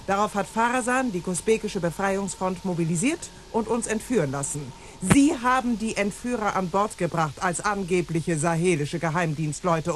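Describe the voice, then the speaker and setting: Imperious, sharp-tongued lady boss played by an actress. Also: on the low side, a little hiss or hum, natural voice. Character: imperious, brusque female boss and arms dealer, sharp-tongued. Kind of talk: radio play acting.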